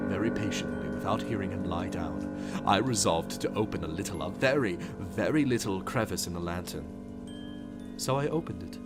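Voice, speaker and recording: Male dramatic reader, high and animated, gothic storytelling with music under it. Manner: theatrical, swinging between hushed whispers and frenzied shouts. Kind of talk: dramatic reading of a gothic tale. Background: clear music.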